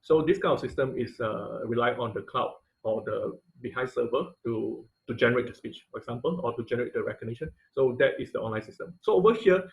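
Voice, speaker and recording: A man with a medium-pitched voice teaches an informal online technical class. He explains step by step in a halting way, often repeating himself. His English phrasing is non-native. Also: creaky, low-quality recording. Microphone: online video call.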